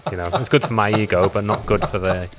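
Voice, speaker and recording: A fairly low-pitched man in his thirties with a Scouse accent and a slight Welsh tinge. He hosts a podcast in an informal, matey way, speaking close to a studio microphone. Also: fast talker, loud music behind the voice. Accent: Merseyside with a slight Welsh tinge. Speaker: podcast host. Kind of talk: casual podcast interview. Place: small acoustically treated podcast studio. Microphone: close studio microphone on a boom arm.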